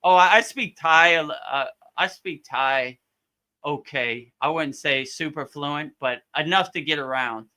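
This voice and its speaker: A man's voice: fairly high pitch, measured pace, pushed and bright. A man hosting a live question-and-answer session as a yoga teacher, chatting informally and often repeating and rephrasing his points. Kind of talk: casual live Q&A chat.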